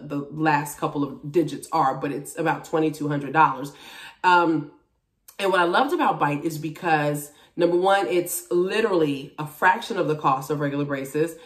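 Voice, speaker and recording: Female vlogger, around forty, lowish voice, expressive and frank delivery, roomy home sound with a distant mic. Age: about 40. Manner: candid, expressive, emphatic. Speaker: vlogger, TV and radio personality. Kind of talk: casual chat to camera about a personal journey. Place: small indoor room at home. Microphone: camera a few feet away. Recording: roomy echo, distant microphone.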